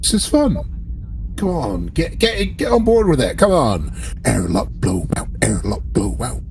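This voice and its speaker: A man's voice: medium pitch, sing-song, a little slow, vocal fry, darkish tone, slight background noise. A man chatting casually as a player in a tabletop roleplaying game, dipping in and out of character.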